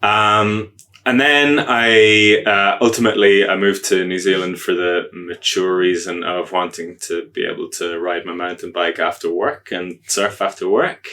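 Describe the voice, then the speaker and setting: A man with an Irish accent, a guest on a podcast, chatting casually in a relaxed, self-deprecating way. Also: deep voice, a little slow. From Ireland. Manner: relaxed, self-deprecating, hesitant with many ums. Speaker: podcast guest, agile coach.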